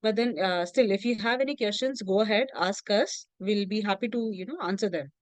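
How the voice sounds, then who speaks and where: A woman hosting a video call, warmly praising answers and moving the session along. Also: medium pitch, fairly quick, no room sound. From India. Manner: encouraging, gives praise, loose fillers. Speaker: session host. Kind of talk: casual moderating on a video call.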